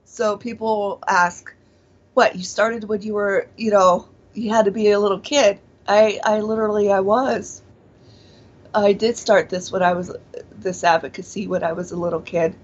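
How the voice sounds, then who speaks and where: A woman's voice: medium pitch, steady tone, normal speed. A woman in her forties, born in Taiwan and raised in Pennsylvania, speaking as an interview guest, hesitant with many fillers and restarts. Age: 40s. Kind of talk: casual interview, personal testimony. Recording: dull lo-fi audio.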